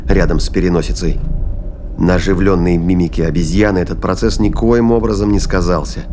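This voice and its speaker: A man's voice: sits low, background noise. A man narrating a scripted audio drama as its storyteller.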